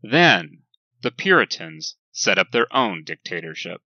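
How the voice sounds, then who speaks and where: Male sermon reader, slow and emphatic, deliberate pauses, very crisp forceful tone, dry sound. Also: low voice, very animated, no room sound, muffled recording. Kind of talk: scripted lecture-like sermon reading.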